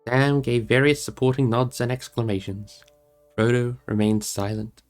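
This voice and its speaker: A man narrates a fantasy novel in a mid-pitched voice, with music playing behind him. His delivery is expressive storybook reading. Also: normal speed. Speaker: storybook reader, streamer.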